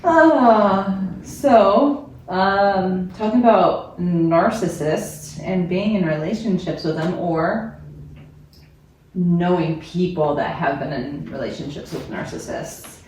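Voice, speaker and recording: A woman's voice: lowish voice, takes it slowly, a little mellow. A woman hosting a family podcast, introducing the show and leading with questions in an easygoing way. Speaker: podcast host. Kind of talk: podcast intro and casual chat. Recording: very echoey room, far from the mic, faint noise.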